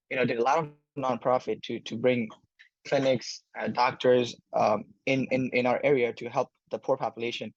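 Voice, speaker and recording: A young man from Bangladesh, an incoming medical student, answering interview questions thoughtfully with many pauses and fillers. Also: medium pitch, dry recording, lo-fi sound. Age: young adult.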